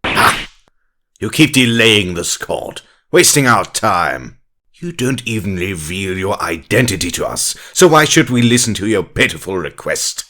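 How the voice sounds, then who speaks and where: Very deep, pompous villain professor. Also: male voice, sing-song, unhurried, bright, forceful tone, full character voice. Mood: irritated. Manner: theatrical, condescending, grandiose. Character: pompous, scornful villain professor arguing as prosecutor. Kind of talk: audio drama acting.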